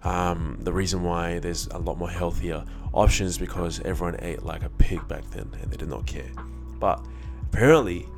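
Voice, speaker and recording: Young man with a very low voice. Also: moderate pace, creaky, hardly any pauses, music in the background. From born in Iraq, moved to Australia as a child. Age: young adult. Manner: informal, candid, with slang and swearing. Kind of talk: casual monologue mixing reflection and personal storytelling.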